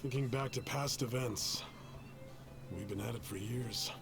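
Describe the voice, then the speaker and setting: A man voicing a reserved, wistful young man and loyal old friend in a video game, speaking in a measured, plain way. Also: mid-range voice, gravelly, music in the background.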